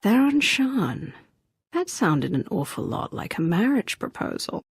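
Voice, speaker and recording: An actress playing a weary, forgiving female commander in a sci-fi game, measured and composed. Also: mid-range voice, sing-song, no echo, studio quality, heavily acted. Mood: teasing, tender. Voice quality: gravelly, husky. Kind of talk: video game cutscene acting.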